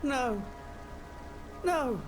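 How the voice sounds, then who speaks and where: A woman's voice: high voice, expressive, very slow, full character voice, rough recording. An actress playing a devoted lady-in-waiting in a video game, courtly and solicitous toward her queen. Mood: pleading. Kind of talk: dramatic video game cutscene acting. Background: music.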